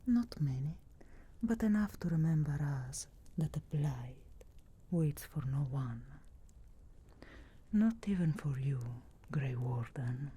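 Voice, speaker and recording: A woman voicing a sharp-tongued, sarcastic but reluctantly caring witch in a slow, breathy, whispered ASMR fantasy roleplay. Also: low voice, full character voice. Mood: brooding, dry. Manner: slow, deliberate, dry sarcastic teasing.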